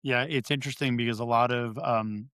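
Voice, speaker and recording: A man's voice: mid-range voice, steady tone, a little fast, fairly bright tone, clean tone, dry recording, studio quality. A man hosting a podcast, chatting in a conversational, joking way. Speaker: podcast host. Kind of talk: podcast interview.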